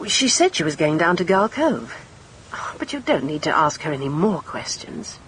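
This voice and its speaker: A woman's voice: sits low, big pitch swings, moderate pace, a little hiss or hum, poor audio. An actress playing a poised, guarded woman suspect with an English accent in a radio mystery drama. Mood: defensive, uneasy.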